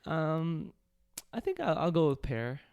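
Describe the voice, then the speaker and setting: A man co-hosting a casual podcast chat, answering in an easygoing, measured way and laughing often. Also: a little high, wildly swooping pitch, a little mellow, smooth, dry recording, highs cut off. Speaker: podcast co-host.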